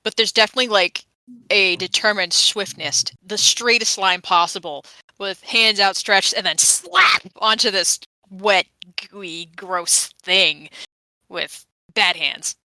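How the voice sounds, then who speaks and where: A woman's voice: mid-range voice, slow, pushed and bright, no echo, poor audio. A woman playing a tabletop game, talking casually with wry, wordy descriptions of her moves.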